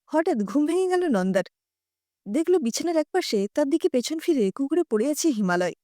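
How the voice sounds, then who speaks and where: A woman narrating a scripted short story in an expressive storytelling style. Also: high-pitched, normal speed, dry recording, studio quality.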